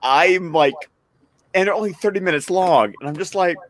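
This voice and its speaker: A man chatting casually and excitably as a panelist on a fan livestream. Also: sits high, fairly quick, slight background noise. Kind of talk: casual excitable group chat about shows.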